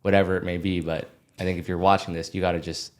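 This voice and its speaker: A male podcast host with a very deep voice. He chats casually and encourages his listeners. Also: little pitch movement, quick pace. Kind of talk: casual interview talk.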